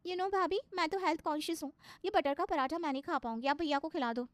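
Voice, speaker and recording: A woman's voice: high-pitched, quick pace, dry recording, voice pushed up, natural voice. A woman voicing a pretentious, breezy young sister-in-law in a comic cartoon story. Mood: affected. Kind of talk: cartoon comedy story dialogue.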